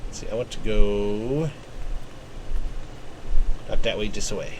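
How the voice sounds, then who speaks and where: A man's voice: on the low side, takes it slowly, background noise. A man doing casual live gameplay commentary as a streamer, rambling and thinking aloud as he goes. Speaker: game streamer.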